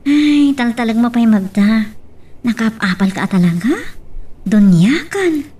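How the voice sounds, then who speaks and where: High-pitched nagging Filipino wife played by an actress. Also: female voice, expressive, unhurried, dark tone, breathy edge. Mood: envious. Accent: Ilocano. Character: nagging, regretful, envious wife of a poor man. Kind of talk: Ilocano audio drama acting. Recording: distant mic, faint noise.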